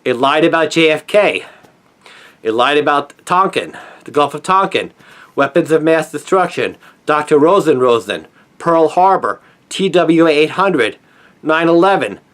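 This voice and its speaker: A middle-aged man delivering a scripted opinion monologue in an earnest, emphatic manner with deliberate phrasing. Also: fairly high pitch, lively intonation, a bit bright. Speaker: conspiracy commentator, former newspaper journalist.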